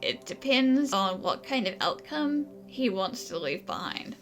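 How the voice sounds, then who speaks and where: A woman's voice: medium pitch, very animated, unhurried, a bit bright. A woman voicing a bossy, sardonic snake companion in a video game, with a blunt, dismissive manner. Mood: blunt, exasperated. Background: music.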